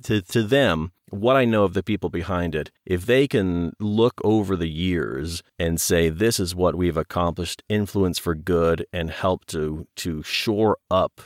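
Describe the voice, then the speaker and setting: A man's voice: low-pitched, measured pace, few pauses, no echo, clean studio sound. A man speaking as an interview guest and audio producer, conversational, earnest and reflective in manner.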